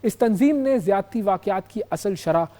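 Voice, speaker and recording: A man's voice: high voice, lively intonation, moderate pace, dark tone, soft background music. A man with a Pakistani accent hosting a news show, explaining stories with emphasis and repeating key phrases. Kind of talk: news presentation with explanatory commentary.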